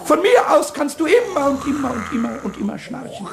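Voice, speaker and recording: A man playing a childish, mischievous little kobold in a children's radio play, boastful and whiny, stammering and repeating words excitedly. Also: extremely high pitch, brisk, creaky, background noise, exaggerated voice. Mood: sulky, pleading.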